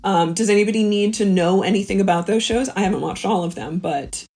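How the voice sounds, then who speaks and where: Женщина в непринуждённом комедийном подкасте. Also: female voice, medium pitch, a little fast, a little mellow. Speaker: guest comedian leading a pitch game. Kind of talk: casual comedy podcast chat.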